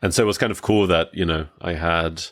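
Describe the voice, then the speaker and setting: A man's voice: low-pitched, fairly even intonation, brisk. A man hosting a podcast interview, asking questions and sharing his own story, with many fillers and hesitations. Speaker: podcast host. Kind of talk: casual interview talk.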